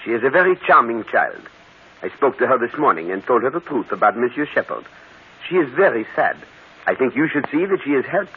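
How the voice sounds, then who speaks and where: An actor playing a shrewd, courteous, self-assured detective with a French accent, precise and theatrical, in an old radio mystery. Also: male voice, medium pitch, big pitch swings, brisk, breathy edge, heavily acted. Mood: gentle, probing. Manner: theatrical, precise, mock-polite. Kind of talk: 1940s radio mystery acting. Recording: tinny, hissy old radio broadcast.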